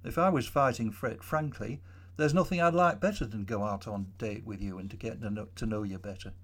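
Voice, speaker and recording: A man voicing a quiet young woman. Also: fairly low pitch, a little fast. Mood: confiding. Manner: quiet, soft-spoken. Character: young woman around thirty, quiet and candid.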